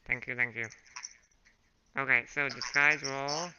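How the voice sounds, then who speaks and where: Hoarse, slow-talking man running a game. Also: mid-range voice, steady tone, bright, forceful tone. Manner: unhurried, hesitant with fillers. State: hoarse from a throat infection. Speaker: dungeon master. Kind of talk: running a tabletop game, casual. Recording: muffled home recording with background noise.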